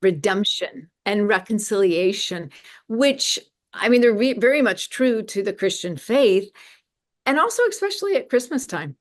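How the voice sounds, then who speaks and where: A woman's voice: mid-range voice, lively intonation, normal speed. A woman speaking earnestly and enthusiastically as an interview guest promoting her organization's work. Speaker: guest, media ministry representative.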